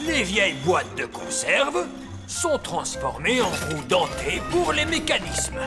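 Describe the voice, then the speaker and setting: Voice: mid-range voice, wildly swooping pitch, slow, heavily acted. An actor voicing a proud, eager male elf guide in a French cartoon, announcing like a showman. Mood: proud, explaining. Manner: exclamatory showman announcing a tour. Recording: loud cartoon music behind.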